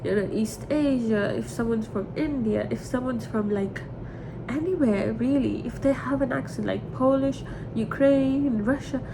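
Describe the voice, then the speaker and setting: A woman in her twenties talking candidly and emotionally in a rambling personal confession, repeating herself for emphasis. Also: a little slow. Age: 20s. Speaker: vlogger. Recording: clearly noisy with low rumble.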